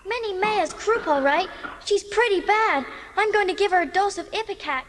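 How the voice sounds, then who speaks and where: A woman's voice: very high-pitched, lively intonation, moderate pace, a bit bright, slight background noise. A woman playing an earnest, talkative eleven-year-old orphan girl with a grandiloquent, theatrical way of speaking in a dramatised audiobook. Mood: brisk, capable.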